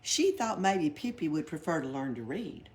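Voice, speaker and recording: A woman's voice: low voice, big pitch swings, moderate pace, darkish tone. A woman reading a children's storybook aloud expressively. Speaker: library read-aloud reader. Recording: background noise, library read-aloud.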